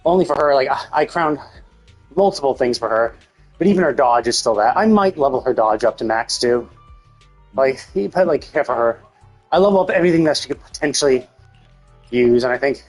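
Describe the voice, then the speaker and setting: A man's voice: medium pitch, expressive, a little fast, pauses often, low-quality recording. A man thinking aloud in a rambling, casual way as he streams a video game. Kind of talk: casual livestream gameplay chat. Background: music.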